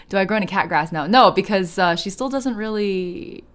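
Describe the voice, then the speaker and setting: A woman streaming a video game, chatting casually in an animated, slangy way and reacting aloud. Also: mid-range voice, a little slow, clear, resonant tone. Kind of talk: casual live stream chat with gameplay reactions. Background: game background music.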